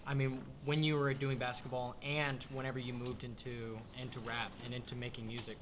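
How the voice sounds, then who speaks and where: Male audience questioner, mid-pitched, tentative and casual, with noticeable noise behind him. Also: level tone, a little fast. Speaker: audience member asking a question. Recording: clear background noise.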